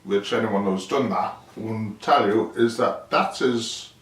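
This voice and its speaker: A man's voice: on the low side, slow pace, fairly bright tone. A man giving a casual, unscripted hands-on explanation as a DIY vlogger, rambling and thinking aloud as he goes. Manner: rambling, thinking aloud, asks himself questions. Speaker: DIY vlogger presenting his project. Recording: roomy, noisy, distant mic with clicks.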